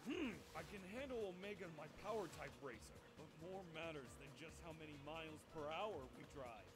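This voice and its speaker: A man voicing a cocky, competitive animal racer in an animated comic dub under very loud music. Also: a little high, fairly quick, close to an everyday voice. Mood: determined.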